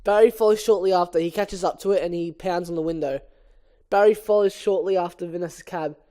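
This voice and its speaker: A man's voice: sits high, fairly even intonation, a little fast, dark tone. A man casually reading a film script aloud as an amateur, narrating the stage directions. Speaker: script reader.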